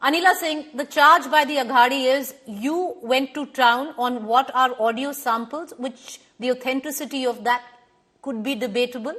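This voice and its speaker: A woman news anchor with an Indian English accent, moderating a TV debate in a firm, measured way and correcting guests. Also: high voice, a bit bright.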